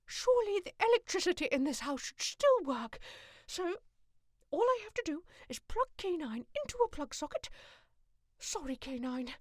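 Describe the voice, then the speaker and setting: A woman playing a determined, affectionate, resourceful adventurer in an audio drama, expressive and commanding. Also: sits high, normal speed, no echo, studio quality. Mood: busy, frustrated. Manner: expressive, thinking aloud, commanding.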